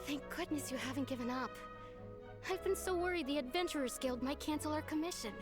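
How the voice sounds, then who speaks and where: A woman's voice: sits high, fairly quick, lightly acted. An actress playing a worried, pleading young village woman in a video game, anxious and imploring, with game music underneath.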